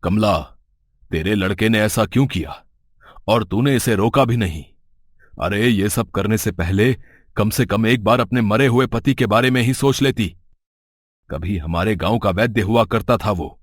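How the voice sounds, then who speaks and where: A man's voice: on the low side, unhurried, no echo, clean studio sound. A man playing a middle-aged village headman in an audio story, stern, suspicious and authoritative. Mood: reproachful. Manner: scolding, authoritative. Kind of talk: dramatic story dialogue.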